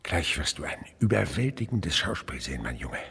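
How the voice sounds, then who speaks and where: A man's voice: rumbling low, sing-song, moderate pace, vocal fry, noisy background, full character voice. An older male actor playing an enthusiastic, teasing naturalist uncle in a German radio play, fond and instructive in a theatrical way. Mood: excited, fond.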